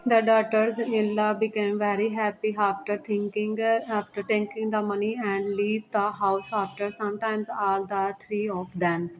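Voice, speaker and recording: A woman's voice: medium pitch, flat delivery, normal speed, hardly any pauses, faint music behind. A woman reading scripted narration for a moral story, on a muffled recording with some noise. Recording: dull lo-fi sound, faint noise.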